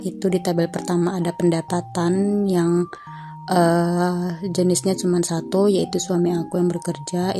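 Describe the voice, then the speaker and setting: A young adult woman, hoarse from a cough, giving a chatty voice-over for her personal finance vlog with frequent "eh" fillers. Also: fairly low pitch, warm tone. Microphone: home voice-over mic.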